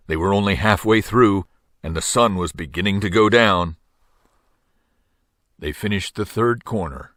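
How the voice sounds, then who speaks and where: An older man reading a children's story aloud with dramatic, drawn-out phrasing. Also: low voice, dry recording, clean studio sound. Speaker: storybook reader.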